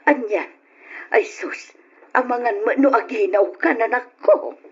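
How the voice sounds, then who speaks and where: An older woman with an Ilocano accent playing a doting, excitable mother in an old radio drama. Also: fairly high pitch, measured pace, breathy edge, exaggerated voice. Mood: motherly concern. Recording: dull lo-fi radio drama recording.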